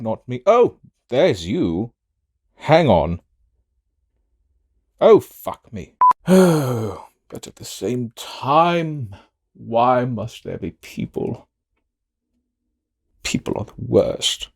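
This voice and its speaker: A man's voice: mid-range voice, a little mellow. A man playing a sassy, self-absorbed fantasy villain in an audio drama, with a theatrical, drawling and melodramatic delivery. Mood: petulant, exasperated. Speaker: fan audio drama voice actor and narrator. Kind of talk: theatrical in-character outtakes.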